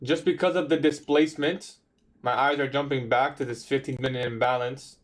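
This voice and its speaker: Young man, twenties, laid-back slangy livestream chat, mid-pitched voice. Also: fairly even intonation, a little fast. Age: 20s. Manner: laid-back, slangy, says bro a lot. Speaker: livestream trader. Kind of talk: casual livestream chat reading viewer questions.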